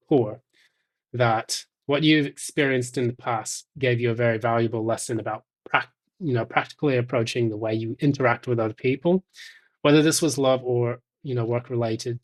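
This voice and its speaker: A man giving an intuitive tarot reading straight to the listener, speaking in a measured, halting way with thoughtful pauses. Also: mid-range voice. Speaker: tarot reader.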